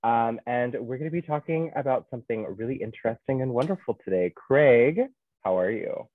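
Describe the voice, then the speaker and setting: Low-voiced co-host on a tinny line. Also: male voice, a little fast, no echo. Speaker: podcast co-host. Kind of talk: podcast intro. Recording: dry, phone-like sound.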